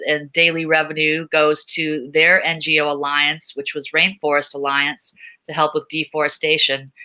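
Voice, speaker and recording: Panel speaker, very bright forceful voice, medium pitch, explanatory talk. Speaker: panel speaker from a tech company funding VR projects.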